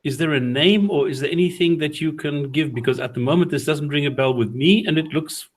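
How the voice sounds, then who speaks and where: A man's voice: fairly high pitch, brisk. A man on a discussion panel, directly putting viewer questions to a guest.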